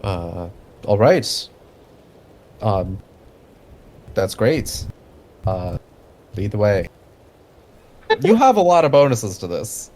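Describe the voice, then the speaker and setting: A man's voice: fairly low pitch, very animated, slow, pauses often, darkish tone. A man narrating a tabletop game as game master, with casual asides. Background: music.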